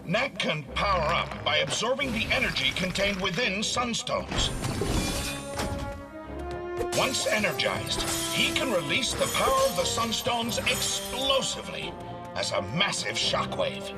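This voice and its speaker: Proud inventor doctor, video game, high lively voice, grand declamatory delivery, loud music behind. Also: male voice, a little slow, recorded from a distance. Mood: commanding, showy. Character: proud, showy male doctor and inventor, middle-aged or older. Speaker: scientist presenting his creation. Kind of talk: theatrical video game voice acting, presentation speech.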